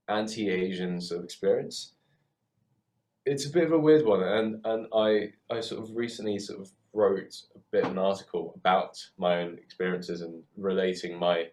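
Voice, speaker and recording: A man's voice: deep voice, darkish tone. A man in his twenties with a southern English accent, chatting reflectively in a hesitant, thoughtful way and often rephrasing himself. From UK, born in Hong Kong. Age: 20s. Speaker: theatre worker and photoshoot co-producer. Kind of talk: reflective casual chat.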